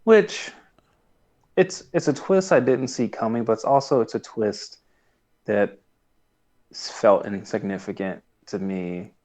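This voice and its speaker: A man's voice: on the low side, unhurried, long pauses, a little mellow. A man co-hosting a podcast, recapping a show casually and somewhat hesitantly. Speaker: podcast co-host. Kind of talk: casual episode recap chat.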